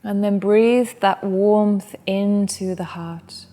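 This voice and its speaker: A woman guides a meditation in a soft and gentle way, taking her time between phrases. Her voice sits at an ordinary middle pitch. A long reverb hangs behind her words. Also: steady tone. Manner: soft, slow and gentle guidance. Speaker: yoga and meditation teacher.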